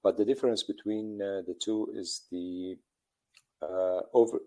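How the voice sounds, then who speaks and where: A middle-aged football coach from Lebanon answering interview questions in halting English full of pauses and fillers. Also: male voice, fairly low pitch, steady tone, dark tone.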